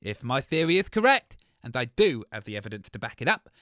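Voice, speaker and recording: A man's voice: medium pitch, very animated, fairly quick, sharp tone, no echo, studio quality. A man playing an earnest, easily outraged environmentalist guest in a comedy radio debate.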